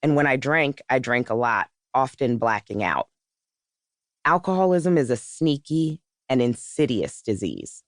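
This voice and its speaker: A woman's voice: a bass voice, expressive, unhurried, no echo. Woman narrating in a very dry recording. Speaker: author narrating own audiobook. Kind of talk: scripted first-person audiobook narration.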